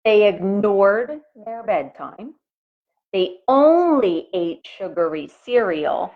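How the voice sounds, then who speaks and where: Woman, children's story read-aloud, very animated pitch, explanatory asides, thin phone-like recording. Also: slow. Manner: explains and asks questions to young listeners. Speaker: elementary school teacher reading aloud. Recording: phone-like, narrow-band sound.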